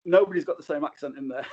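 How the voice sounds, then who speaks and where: A man's voice: on the high side, fast talker, warm tone, a little hiss or hum. A man speaking as a guest film director in a casual interview, hesitant and often correcting himself, on slightly dull remote audio.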